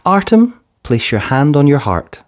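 A man voicing a kind young boy in a children's storybook, gently earnest and encouraging. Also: a little high, very animated, measured pace, voice pushed up. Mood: gentle encouragement.

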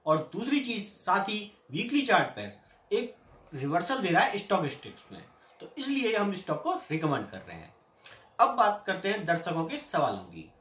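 A middle-aged Indian man hosting a daily stock market update, briskly reading out price levels and tips. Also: high-pitched. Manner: brisk, reads out price levels in a lively sing-song. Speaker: stock market analyst host. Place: small indoor room with patterned curtain. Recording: echoey room.

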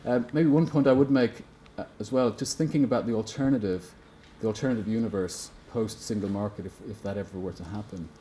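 A man speaks at a seminar panel table in a mellow, fairly low voice. His talk is careful and academic, halting often to restart a phrase. A faint room hum sits underneath. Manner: hesitant, many restarts, measured.

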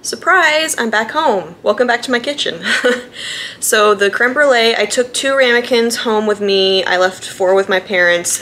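A woman's voice: medium pitch, fairly bright tone. A young adult woman chattily explaining a recipe step by step, in a casual home cooking demonstration. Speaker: home cook hosting a cooking vlog. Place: indoors in home kitchens. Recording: clearly noisy home kitchen ambience.

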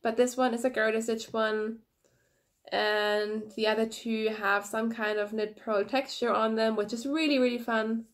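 A young woman with a slight head cold, hosting her knitting podcast in quick, energetic, chatty talk, recorded on a phone at arm's length in a small room. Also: on the high side. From Germany. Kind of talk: casual chat to camera. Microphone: phone camera at arm's length, no external mic.

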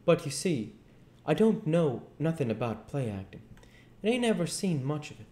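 An old rustic swindler who calls himself a king, eager and folksy, as played by a man reading a storybook. The voice sits raised in pitch, with room echo around it. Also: sing-song, moderate pace, mellow. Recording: echoey room.

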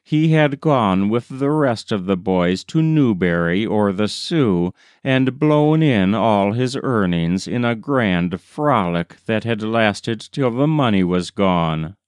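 Male audiobook narrator for literary fiction: lowish, clear resonant voice, measured delivery, dry recording. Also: expressive, dry recording. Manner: measured, deliberate storytelling. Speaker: audiobook reader. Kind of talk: scripted literary narration of a short story.

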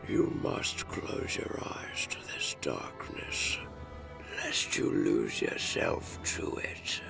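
Very deep, whispery cloaked mystery figure. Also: male voice, wildly swooping pitch, heavily acted. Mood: ominous. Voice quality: gravelly, breathy whispering. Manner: slow, solemn, cryptic. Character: cloaked mysterious male being, solemn, ominous, protective guide. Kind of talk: dramatic comic dub voice acting. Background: music.

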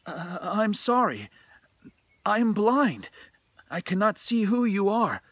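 A man's voice: sits high, big pitch swings, slow, fairly bright tone, no echo, voice pushed up, heavily acted. A man voicing a timid, humble blind musician in a ghost story, easily frightened and pleading. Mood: startled, timid. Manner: stammering, timid.

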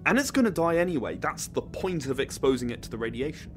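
Arrogant young student, animated voice. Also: male voice, on the high side, fast talker, soft background music. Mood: cold, impatient. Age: young adult. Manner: scornful, insistent. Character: arrogant, callous young male science student with a temper. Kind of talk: full-cast sci-fi audio drama.

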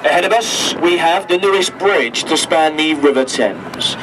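A man's voice: fairly high pitch, expressive, measured pace, distant mic. Man, tour commentary over a boat PA, heard from afar, engine and street noise behind. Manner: chatty, jokey tour patter. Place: open deck of a river boat, outdoors. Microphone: handheld microphone over a boat speaker, filmed from a distance.

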